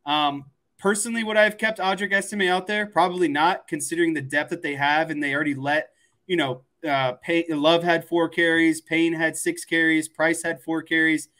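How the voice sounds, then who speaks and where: A man with a fairly high voice co-hosts a sports show. He gives his takes casually, rambling a little as he talks them through. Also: fairly even intonation, moderate pace, a bit bright. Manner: rambling, conversational opinions. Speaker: sports podcast co-host. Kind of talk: casual sports commentary chat.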